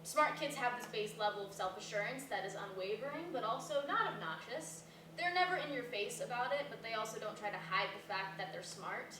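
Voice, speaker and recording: An echoey, noisy recording of an actress playing a blunt, talkative woman in a stage play. Her voice sits fairly high, and she speaks in a casual, self-deprecating, naturalistic way with some swearing. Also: fairly quick, recorded from a distance, close to an everyday voice. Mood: confident, frank. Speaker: actor in a staged play reading. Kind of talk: naturalistic stage dialogue before a live audience.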